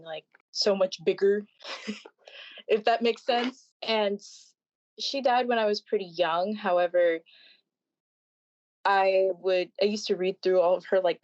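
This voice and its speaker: A woman in her twenties, a podcast guest, answering in a thoughtful, casual way with fillers and soft laughs. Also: lowish voice, no echo, highs cut off. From Florida, United States. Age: 20s.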